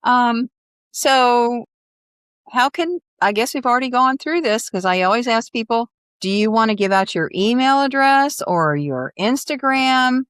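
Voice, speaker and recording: A woman's voice: fairly high pitch, measured pace, a bit bright, clean tone, dry recording, clean studio sound. A woman hosting a podcast interview in casual conversation, encouraging and effusive toward her guest. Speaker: podcast host.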